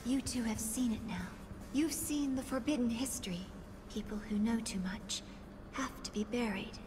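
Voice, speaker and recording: A woman's voice: fairly high pitch, normal speed, lightly acted. An actress playing a polite young female android with a precise, detached, formal manner in a video game. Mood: cold. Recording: game music behind the voice.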